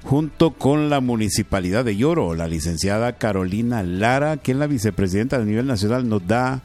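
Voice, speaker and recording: A man's voice: on the low side, lively intonation, fairly quick, a little mellow. A man reading a scripted news introduction in announcer style. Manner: announcer read. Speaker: news anchor.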